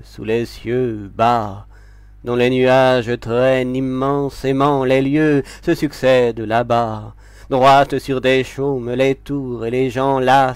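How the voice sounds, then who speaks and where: Guy reading poetry really slowly, solemn and dramatic, smooth clear voice. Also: medium pitch, no room sound. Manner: solemn, deliberate, expressive phrasing. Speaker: poetry reader. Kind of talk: dramatic literary poetry recitation.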